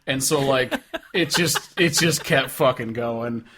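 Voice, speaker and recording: A man's voice: medium pitch, measured pace, bright, forceful tone. A man co-hosting a podcast, chatting casually about music in a blunt, informal, sweary way. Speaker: podcast co-host. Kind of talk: casual opinionated music chat.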